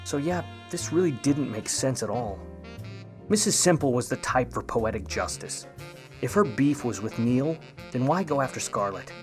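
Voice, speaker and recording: An actor playing a grudging, wry, world-weary 1950s Hollywood private eye, narrating in old-time radio noir style. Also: male voice, mid-range voice, animated, moderate pace, over music, lightly acted. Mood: musing, wry.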